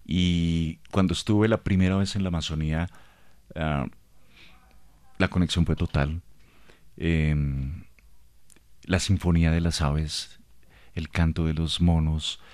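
A man's voice: low voice, fairly even intonation, unhurried, pauses often, dry recording. A man from Bogotá, Colombia, with a Bogotá accent, hosting a radio interview and encouraging his guest with frequent short agreements. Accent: Bogotá Colombian Spanish. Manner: affirming, warm sign-off, frequent "ujú". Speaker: radio host.

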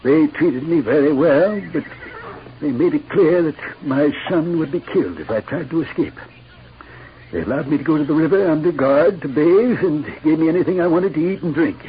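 A man's voice: a little high, lively intonation, normal speed, natural voice. An actor playing a desperate middle-aged father freed from outlaw captors, earnest and anxious, in a 1950s radio western. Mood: earnest, troubled. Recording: muffled old radio recording.

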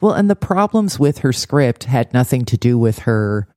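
A woman's voice: a bass voice, big pitch swings, normal speed, darkish tone, no room sound. A woman co-hosting a podcast in a casual TV recap chat, speaking in a dry, sardonic, blunt way. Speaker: podcast co-host.

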